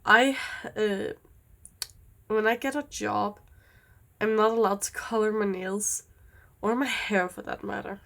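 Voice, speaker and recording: Slow-talking young woman, rambling. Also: mid-range voice, fairly even intonation, vocal fry, a bit bright. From Denmark. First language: Danish. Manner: rambling, easily distracted, with many fillers. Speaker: vlogger. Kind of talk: casual chat while doing nails.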